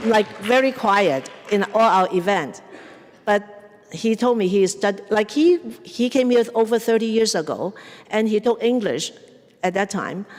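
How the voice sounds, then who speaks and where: A woman in her sixties with a Cantonese accent, chatting informally as she introduces speakers at a community event. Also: on the high side. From Hong Kong or southern China, in Canada since 1975. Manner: chatty, informal, mixes up pronouns. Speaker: event host, opera organizer. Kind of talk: casual introductions at a public event. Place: museum event hall, indoors. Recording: reverberant hall.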